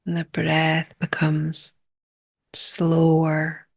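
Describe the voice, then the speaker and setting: A woman leading a guided meditation in a calm, unhurried manner, speaking in short phrases with long pauses. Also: low voice, vocal fry.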